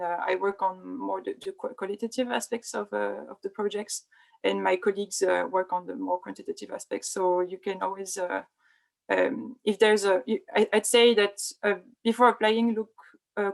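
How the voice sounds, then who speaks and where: Young woman researcher, halting delivery with many fillers, gravelly fry, video call. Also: medium pitch. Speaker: think tank researcher. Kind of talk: online panel talk.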